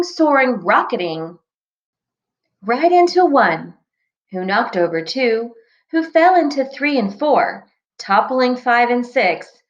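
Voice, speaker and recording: A woman reads a picture book aloud to children at a slow, deliberate pace. Her voice is fairly high and swings widely in pitch. The recording is slightly dull and lo-fi. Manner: expressive, deliberate phrasing for young listeners. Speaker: teacher reading aloud to children. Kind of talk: scripted storybook read-aloud.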